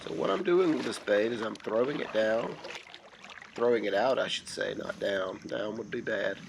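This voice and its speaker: A man in his thirties with a hoarse, gravelly voice explains his fishing in a slow, steady way. He's out on a creek in a kayak, recorded by a camera mounted behind him. The audio is noisy, with water and insects clearly heard around him. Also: fairly low pitch. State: hoarse from a cold. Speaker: kayak angler. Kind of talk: casual fishing narration. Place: kayak on a wooded creek outdoors.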